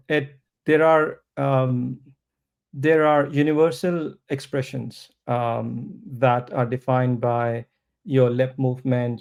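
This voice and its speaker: A man whose first language is not English, answering interview questions about his product in a slow, thoughtful way with many pauses and restarts. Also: mid-range voice, vocal fry, a little mellow. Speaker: startup founder, interview guest. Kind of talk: podcast interview.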